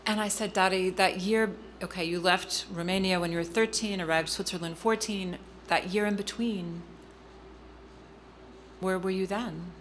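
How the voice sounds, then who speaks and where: A middle-aged woman telling a personal family story in an interview, earnest and halting, with frequent ums and restarts. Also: mid-range voice, steady tone, slight background noise. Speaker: guest speaker.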